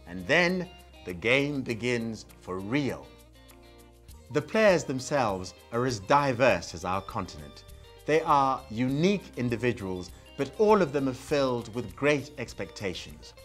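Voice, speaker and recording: A man's voice: mid-range voice, sing-song, a little slow, faint music behind. A man hosting a quiz show, narrating and asking contestants questions with playful banter. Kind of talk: scripted narration and quizmaster questions.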